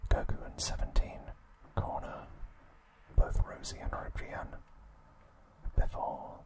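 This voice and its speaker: Slow, creaky ASMR whisper. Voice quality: breathy unvoiced whisper. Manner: slow, hushed, unhurried delivery. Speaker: ASMR host recapping an anime series. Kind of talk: whispered ASMR trivia narration.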